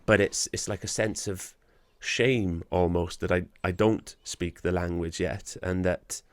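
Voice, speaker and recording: A young man with a South Welsh accent hosts a podcast, earnest and a little self-deprecating. His voice is very low, and the sound is dry and close. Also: steady tone, a little slow, dry recording. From Wales. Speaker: podcast host. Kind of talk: casual conversation.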